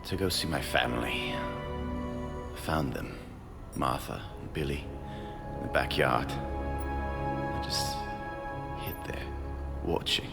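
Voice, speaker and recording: An actor playing a remorseful, obsessive middle-aged scientist in a video game, speaking in a halting, hushed, intense way. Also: male voice, rumbling low, creaky, heavily acted. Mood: grieving, ashamed. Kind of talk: dramatic video game voice acting. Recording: long reverb, music behind.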